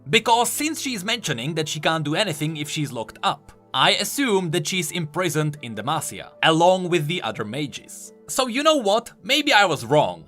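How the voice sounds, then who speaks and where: Bright, animated male lore explainer. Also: a little high. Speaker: video creator explaining game lore. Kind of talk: enthusiastic explanatory video commentary.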